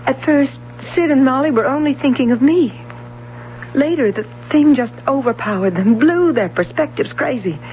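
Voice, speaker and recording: A woman's voice: high voice, expressive, moderate pace. An actress playing an anxious young woman, a former swimming prodigy, earnest and hesitant, in a 1970s radio drama. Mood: uneasy. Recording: dull old radio broadcast, faint hum.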